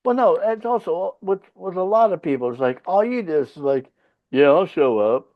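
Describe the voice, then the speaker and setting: A man's voice: high-pitched, expressive, moderate pace, muffled recording. A man from Finland whose first language is Swedish, a rock band leader chatting as a podcast guest, blunt and profane, hammering home his points. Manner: blunt, profane, emphatic storytelling. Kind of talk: casual interview chat.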